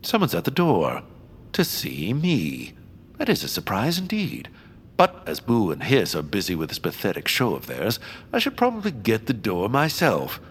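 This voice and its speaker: An actor playing a pompous, spiteful bird land baron in an audio drama, with theatrical disdain. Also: male voice, fairly low pitch, huge pitch swings, normal speed, slight background noise. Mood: grumpy, disdainful.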